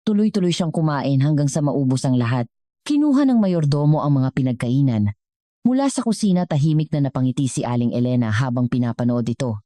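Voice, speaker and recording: A woman's voice: extremely low pitch, very animated, a little fast, dark tone, no echo, clean studio sound. A woman with a Filipino accent reading a scripted, dramatic story as a storyteller.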